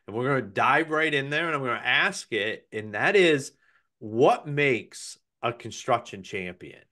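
Male podcast host, 30s, hyped and upbeat, slightly hoarse, webcam sound. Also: medium pitch, measured pace. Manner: energetic, hyped delivery. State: voice slightly crackling.

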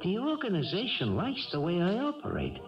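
An American man playing a sneering, menacing small-time crook in a 1970s radio drama, gravelly, slangy and taunting. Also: sits high, huge pitch swings, fairly quick, recorded from a distance. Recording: dull lo-fi radio broadcast, music.

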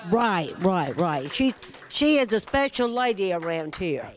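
A woman's voice: fairly low pitch, very animated, unhurried, a little hiss or hum. Older woman host, friendly folksy emcee patter on a handheld mic in a community hall. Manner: folksy, calls people honey. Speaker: senior center host. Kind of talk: casual emcee chat. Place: large indoor community room.

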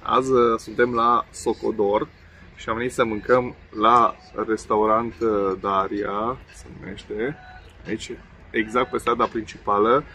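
Chatty man eating at a roadside table. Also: lowish voice. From Romania. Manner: relaxed, chatty, many fillers while eating. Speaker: food vlogger. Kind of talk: casual food review vlog. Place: outdoor table beside a main road. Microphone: camera on table in front. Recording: faint road noise outdoors. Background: passing traffic and outdoor ambience.

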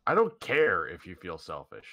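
A man's voice: deep voice, wildly swooping pitch, moderate pace, sharp tone, no room sound, full character voice. A man playing an earnest, caring young male protagonist in a visual novel reading. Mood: earnest, reassuring.

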